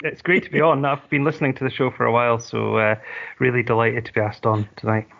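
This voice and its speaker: A middle-aged Scottish man chatting as a podcast guest. He talks in a friendly, meandering way, full of ums and little restarts. His voice sits at an ordinary middle pitch and comes through a dull, lo-fi remote line. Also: little pitch movement, fast, creaky. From Scotland. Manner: chatty, hesitant with frequent ums. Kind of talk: casual chat.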